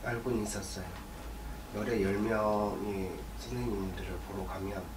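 Low-voiced young man, echoey and distant. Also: fairly even intonation, normal speed. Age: 20s. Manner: brief agreeing replies. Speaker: client. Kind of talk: consultation answers. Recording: distant echoey mic with noise.

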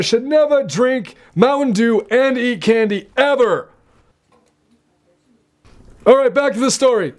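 An old grandpa, put on by a young man in a comedy sketch, reading a bedtime story. His voice sits extremely high for a man, and he is theatrical, scolding and easily exasperated. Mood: emphatic.

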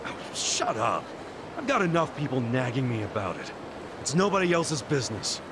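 An actor playing a defiant, cocky, big-hearted gangster hero in a video game cutscene, brash and informal. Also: male voice, mid-range voice, very animated, moderate pace, noisy background, close to an everyday voice. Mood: irritated.

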